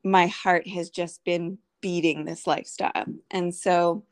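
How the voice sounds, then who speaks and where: A woman's voice: fairly low pitch, fairly even intonation, a little slow. A woman speaking earnestly and thoughtfully as a guest in a reflective interview. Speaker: podcast guest, homesteader.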